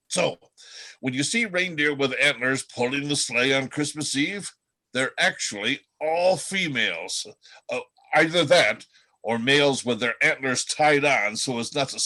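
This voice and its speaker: A man playing a chatty, world-weary reindeer in an amateur radio play, telling gossip in a folksy, halting way. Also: medium pitch, sharp tone.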